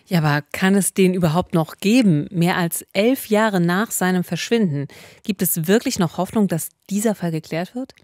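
A woman's voice: on the low side, moderate pace, a little mellow, no room sound, studio quality. A woman in her thirties co-hosting a true-crime podcast in a conversational storytelling style. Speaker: podcast co-host. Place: small recording studio. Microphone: close studio mic with pop filter.

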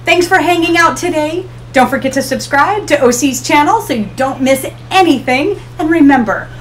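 A middle-aged woman hosting an ocean education channel, talking to camera in a small room with an upbeat, expressive manner. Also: high voice, moderate pace, a little hiss or hum. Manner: upbeat, expressive, gestures while explaining. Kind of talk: scripted educational talk to camera. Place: small room in front of a hanging tapestry.

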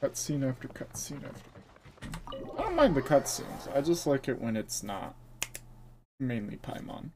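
A man's voice: medium pitch, huge pitch swings, moderate pace, background noise. A man streaming a video game, chatting casually and sarcastically with his viewers. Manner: sarcastic, chatty, talking to chat. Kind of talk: casual gaming commentary.

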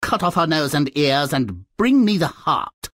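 A wicked, domineering queen for a video game, vain and scornful. The husky voice swoops theatrically from low drawl to high outburst. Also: sing-song, measured pace, a bit bright, dry recording, studio quality, full character voice. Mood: commanding. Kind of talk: video game character acting.

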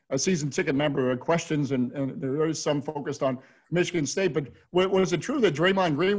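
A middle-aged American man hosting a question-and-answer interview over a video call, halting and repeating words as he goes. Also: a little high. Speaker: host, radio play-by-play announcer. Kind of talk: hosted Q&A interview. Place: indoor room at home, on a video call.

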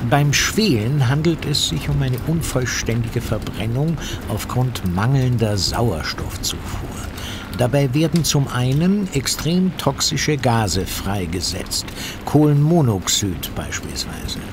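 An actor playing a self-assured, pedantic fire investigator in a radio play, explaining things in a precise, lecturing, technical way. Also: male voice, medium pitch, lively intonation, takes it slowly, noisy background. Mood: matter-of-fact, instructive. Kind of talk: German audio drama acting.

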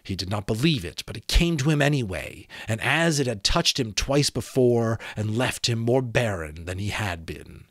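Man, thirties, lowish voice, dramatic audiobook narration, emphatic storytelling, close mic, dry room. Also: a bit bright, no echo. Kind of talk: expressive storybook reading of a fantasy novel. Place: small home room with sloped ceiling, indoors. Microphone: close desk microphone.

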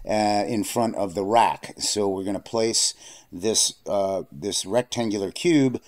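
A man narrating a screen-recorded software tutorial, explaining steps plainly with frequent fillers and restarts. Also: on the low side, slow. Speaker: software instructor.

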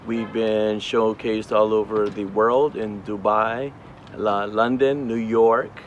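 A man's voice: fairly low pitch, steady tone, slow pace, dull audio, a little hiss or hum. A man in his forties from Hawaii, co-owner of a small brand, interviewed outdoors on a red carpet with a handheld mic, talking in an upbeat, rambling way full of fillers and restarts.

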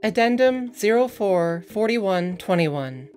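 A woman's voice: medium pitch, animated, slow, a little mellow, soft background music. A woman hosting and narrating, moving between scripted document reading and casual sign-off chat. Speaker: narrator and channel host.

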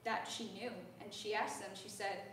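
A woman's voice: medium pitch, flat delivery, moderate pace, fairly bright tone, far from the mic. An earnest young woman talks her audience through a lecture, conversational and dotted with ums. Her voice comes from well across a big recital hall, washed in heavy reverb over a faint hiss. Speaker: voice student giving a lecture recital. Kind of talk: spoken lecture. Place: large recital hall with a grand piano. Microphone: far-off room camera. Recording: echoey hall, heard from afar, faint hiss.

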